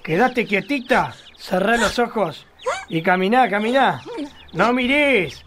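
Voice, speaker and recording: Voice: mid-range voice, expressive, takes it slowly, background noise. An actor playing a scheming, hot-tempered small-town candidate in a radio play, theatrical and bossy. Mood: bossy, agitated. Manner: bossy, theatrical, barking orders.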